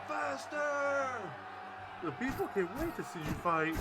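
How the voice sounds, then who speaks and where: Man acting a high, bullying guard, very noisy. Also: sing-song, measured pace, raised voice, a loud crowd, heavily acted. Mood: barking, contemptuous. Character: harsh, bullying male guard herding prisoners. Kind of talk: theatrical fan manga dub.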